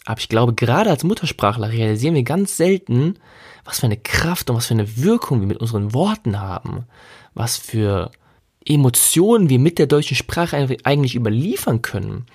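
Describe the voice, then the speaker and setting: A man's voice: medium pitch, very animated, moderate pace, darkish tone, slightly rough. A man from Germany, hosting a solo podcast in a casual, reflective, conversational way and stretching key words for emphasis. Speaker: podcast host.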